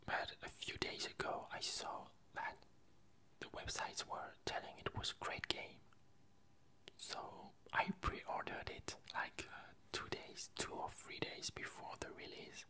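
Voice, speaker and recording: An ASMR creator rambling casually in a soft, breathy, unvoiced whisper, halting and unhurried while searching for words. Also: low-quality recording. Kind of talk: whispered ASMR ramble about games and films.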